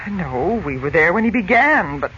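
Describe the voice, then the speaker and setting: A woman's voice: fairly low pitch, big pitch swings, normal speed, bright, forceful tone. An actress playing an anxious middle-aged mother in a 1940s radio drama, distressed over her missing husband. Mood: anxious, upset. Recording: muffled, faintly noisy 1940s radio recording.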